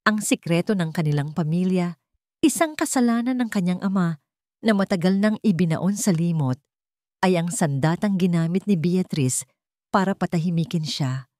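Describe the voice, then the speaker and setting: A woman with a Filipino accent narrating a scripted story in a dramatic, deliberate storytelling manner. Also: fairly low pitch, a little mellow, no room sound, studio quality.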